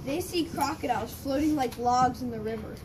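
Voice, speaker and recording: A woman with a high voice narrates a scripted story for amateur readers theater. She reads a little haltingly, and the mic is far away in a noisy room.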